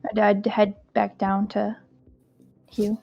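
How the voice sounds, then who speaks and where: A woman's voice: medium pitch, completely flat delivery, slow, vocal fry, darkish tone, slight background noise. A woman player describing her character's actions casually, with many fillers. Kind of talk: casual tabletop narration. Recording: dull lo-fi sound.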